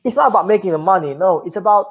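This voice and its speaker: A man's voice: sits high, lively intonation, rapid-fire, highs cut off, a crowd in the background. A young man from Finland, a native Finnish speaker, talking earnestly as an interview guest, often repeating words and restarting. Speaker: guest entrepreneur. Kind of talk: casual interview talk.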